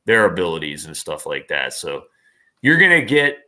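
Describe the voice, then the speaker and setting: A man with a fairly low voice and a bright, forceful edge. He speaks as a guest in a casual interview, rambling with enthusiasm and doubling back on his phrases. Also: very animated, moderate pace. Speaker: interview guest, online performer.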